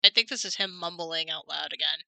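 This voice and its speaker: A woman's voice: deep voice, steady tone, fairly quick, vocal fry, sharp tone, dry recording. A woman streamer chatting casually with friends while voice-acting a courtroom game.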